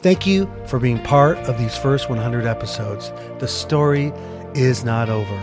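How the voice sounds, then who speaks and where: A man hosting a podcast, giving a personal reflection to listeners in deliberate, short, repeated phrases. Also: medium pitch, music in the background. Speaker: podcast host and pastor.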